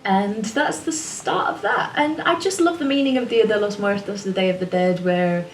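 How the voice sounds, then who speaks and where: A woman's voice: mid-range voice, moderate pace. Chatty woman in a small roomy studio. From England. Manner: chatty, relaxed, rambling. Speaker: singer-songwriter. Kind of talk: casual talk to camera. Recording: roomy, a little distant from the mic, music behind.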